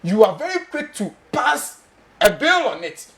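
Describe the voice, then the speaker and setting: A Ghanaian man with a high, lively voice hosts a studio talk show. He argues passionately in Ghanaian-accented English, hammering home his questions, and slips easily into Twi. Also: measured pace, a loud crowd. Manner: passionate, emphatic, repeats questions, mixes in Twi. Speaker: host.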